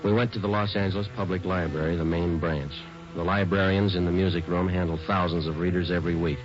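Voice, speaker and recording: Man playing very deep-voiced deadpan police detective. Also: quick pace, lightly acted. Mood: businesslike, deadpan. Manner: deadpan, matter-of-fact. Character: stoic, methodical male police detective. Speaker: lead actor and narrator. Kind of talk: 1940s radio police drama, clipped scripted narration. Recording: muffled old radio recording with loud music.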